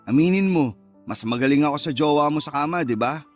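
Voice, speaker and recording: A man's voice: fairly high pitch, lively intonation, fairly quick, faint music behind, natural voice. A man acting a cocky, teasing and easily wounded secret lover, with a Filipino accent, in a radio love drama. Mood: cocky, jealous.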